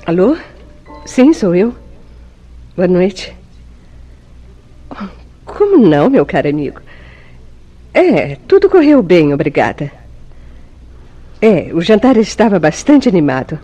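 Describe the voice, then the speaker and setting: A woman's voice: on the low side, very animated, slow pace, long pauses, warm tone, muffled recording, faint music behind, heavily acted. A woman playing a composed, evasive young bride in a vintage Brazilian radio soap, with theatrical, formal diction. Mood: sociable, polite.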